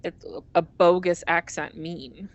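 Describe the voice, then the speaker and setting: A woman's voice: lowish voice, level tone, slow pace. A woman casually chatting on a game stream, rambling with lots of fillers and giggles. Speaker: streamer and co-host.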